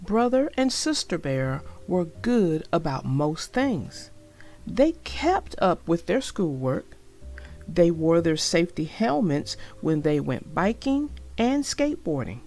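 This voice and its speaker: A woman's voice: on the low side, very animated, slow, darkish tone, soft background music. A woman narrating a children's storybook as a read-aloud for young listeners.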